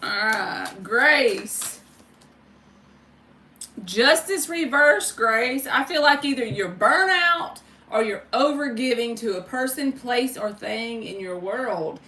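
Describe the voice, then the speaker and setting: A woman with a fairly high, bright, forceful voice reads tarot on a casual livestream. She talks informally and directly to her viewers. The sound is roomy and a little distant from the mic. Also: expressive, slow, a little hiss or hum. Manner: informal, chatty, addresses viewers by name, mild swearing. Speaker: tarot card reader and livestream host. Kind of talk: casual livestream chat with spiritual tarot readings.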